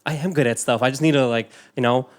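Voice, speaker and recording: A man hosting a podcast, talking quickly in a medium-pitched voice. He keeps the chat upbeat and easygoing. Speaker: podcast host. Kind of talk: casual chat.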